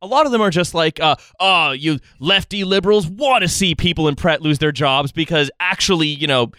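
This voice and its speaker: A man's voice: fairly high pitch, fairly quick, bright, forceful tone, no room sound, studio quality. A man hosting a podcast, chatting casually about the news in a sarcastic, satirical way. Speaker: podcast host.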